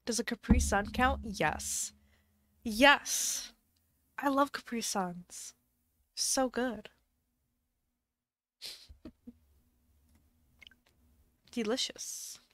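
A woman's voice: fairly high pitch, very slow, long pauses, fairly bright tone. Giggly, slightly stuffy woman streamer. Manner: chatty and giggly, reacting to chat. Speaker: live streamer. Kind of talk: casual live-stream chat while playing a game. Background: faint background music.

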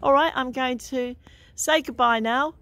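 A woman's voice: high voice, measured pace, fairly bright tone, no echo. Chatty woman interviewing on a street. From Hertfordshire, England. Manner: chatty, laughs often, friendly small talk questions. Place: outdoors on a residential street.

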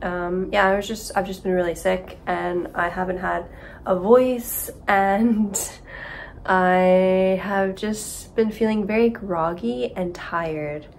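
Slow-talking congested young woman. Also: medium pitch, steady tone, vocal fry, a little hiss or hum. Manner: chatty, rambling. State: congested from a cold. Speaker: vlogger. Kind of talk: casual chat to camera. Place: small apartment room with kitchen, indoors.

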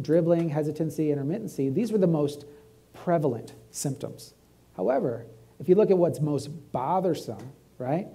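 A gravelly, husky-voiced man with a drawl, middle-aged, giving a urology talk from a lecture-hall stage. He keeps it conversational and often checks in with "right?". His tone sits dark and mellow. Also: fairly high pitch. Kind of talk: medical grand rounds lecture.

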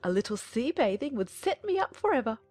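A woman's voice: fairly high pitch, sing-song, brisk, a little mellow, dry recording, soft background music, voice pushed up. A woman voicing a fussy, self-pitying middle-aged mother who laments dramatically. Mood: lamenting.

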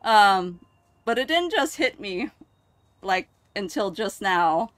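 A woman streamer reacting emotionally on a livestream, choked up, halting and grateful. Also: medium pitch, sing-song, a bit bright, no echo. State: choked up, tearful.

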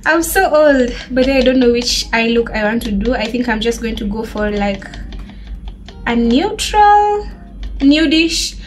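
A woman in her twenties, chatting in a lively way to her webcam while she does her makeup and answers viewers' questions. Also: on the high side, a little slow, soft background music. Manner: bubbly, animated, laughs often. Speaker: beauty vlogger. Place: small bedroom, indoors.

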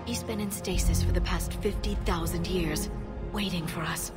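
A woman voicing a calm alien scientist. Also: on the low side, moderate pace, lightly acted. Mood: earnest. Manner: calm, explanatory. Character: earnest young female alien scientist. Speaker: archaeologist companion. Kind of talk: video game cutscene dialogue. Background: game music.

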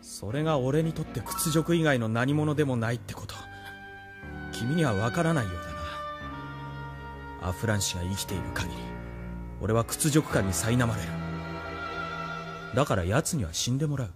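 Casting: male actor as proud young officer for radio drama, mid-pitched, threatening and bitterly vengeful, music underneath. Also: animated, normal speed, long pauses, close to an everyday voice. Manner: menacing, taunting. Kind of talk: anime-style audio drama acting.

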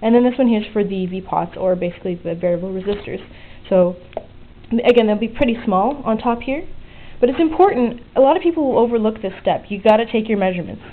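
A woman's voice: mid-range voice, animated, fast. A woman narrating an informal hands-on DIY tutorial at a desk, explaining things in a conversational way into a handheld camera's microphone. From outside the US. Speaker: DIY electronics video maker. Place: indoors at a desk. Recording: muffled phone-like lo-fi audio, noticeable noise.

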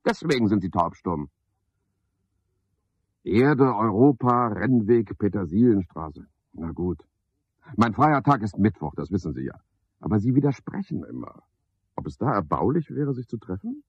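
Pompous, husky porter, swooping delivery. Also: male voice, medium pitch, no echo. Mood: wheedling, effusive. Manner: wordy, self-important, rambling in clipped phrases. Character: garrulous, pompous, scheming male porter of a care home. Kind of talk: radio play monologue, theatrical. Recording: dull 1970s radio play recording.

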